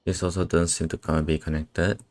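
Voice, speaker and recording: Low-voiced man reacting casually on stream. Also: level tone, a little fast, a little mellow. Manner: brief, offhand remarks. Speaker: game streamer. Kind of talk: casual live reaction commentary. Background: game music.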